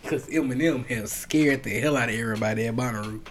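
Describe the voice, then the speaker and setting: A man's voice: mid-range voice, quick pace, a crowd in the background. Emphatic young man telling a story. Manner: storytelling, emphatic, laughing often. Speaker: podcast host. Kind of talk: casual chat.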